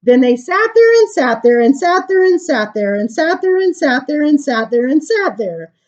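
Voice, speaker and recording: High-voiced, animated woman reading to children. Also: moderate pace, highs cut off. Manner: animated, sing-song, emphatic reading. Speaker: children's storytime librarian reading poems aloud. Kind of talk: expressive poetry read-aloud for children with casual asides.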